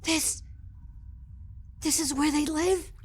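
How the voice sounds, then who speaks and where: An actress playing a frightened, emotional wife fighting to survive in a horror audio drama. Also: female voice, sits high, fairly even intonation. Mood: fearful. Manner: panicked, emotional, drawn-out. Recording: music under the voice. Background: music.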